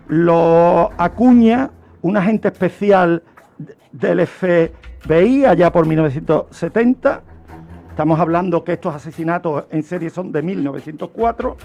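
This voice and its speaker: A man's voice: high-pitched, darkish tone. A man from Spain with a Spanish accent presenting a true-crime radio segment in a didactic way, laying out a case and putting questions to listeners. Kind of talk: semi-scripted explanation of a crime case.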